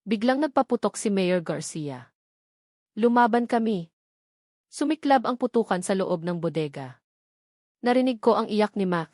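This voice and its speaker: Female narrator, scripted dramatic first-person storytelling, medium pitch, very little room sound. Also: animated, moderate pace, pauses often, a little mellow, dry recording, clean studio sound.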